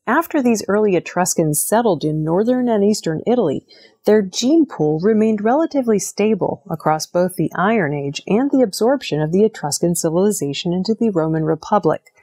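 A woman presenting scripted news in a measured, formal read delivery. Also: mid-range voice, animated, warm tone.

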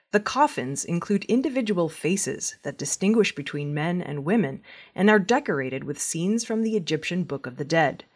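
A woman reads scripted news in a fairly low voice. Her pitch moves up and down a lot, giving the narration an animated shape. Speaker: news reader.

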